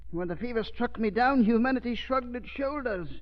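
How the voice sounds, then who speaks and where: Casting: bitter, cruel scientist villain, high theatrical voice, 1930s radio melodrama, dull vintage sound. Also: male voice, moderate pace. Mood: bitter, vengeful. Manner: theatrical, gloating, querulous. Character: bitter, vengeful mad scientist professor, gleefully cruel. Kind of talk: 1930s radio drama acting.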